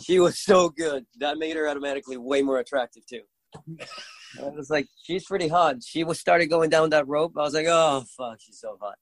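A man with a Venezuelan accent, a native Spanish speaker, chatting casually as a guest and telling stories excitedly, swearing freely. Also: fairly high pitch, a little fast. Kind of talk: casual chat.

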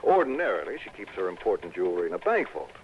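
Animated insurance man, old radio. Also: low voice, fast. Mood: explaining. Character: harried, chatty male insurance company man. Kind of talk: 1960s radio drama acting. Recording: dull, slightly noisy old radio broadcast.

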